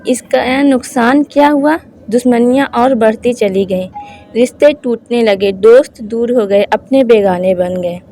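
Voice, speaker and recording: A woman host giving an informal religious talk to viewers, explaining haltingly and correcting herself as she goes. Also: fairly high pitch, dark tone, soft background music. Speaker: host of Islamic advice channel.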